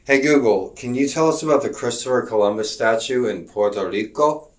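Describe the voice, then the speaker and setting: A man's voice: mid-range voice, fairly quick, recorded from a distance. A man teaching a live-streamed geography class, rambling with lots of fillers, restarts and joking asides.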